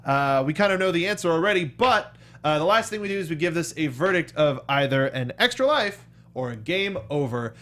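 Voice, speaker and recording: A man's voice: fairly high pitch, expressive, fairly quick, hardly any pauses, a bit bright. A man hosting a review show in a casual, informal and enthusiastic way. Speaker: show host.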